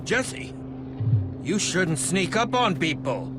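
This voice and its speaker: An actor playing a brooding, defensive schemer in a video game, speaking with dramatic emphasis. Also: male voice, high voice, very animated, slow, heavy background noise, full character voice. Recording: loud music behind the voice.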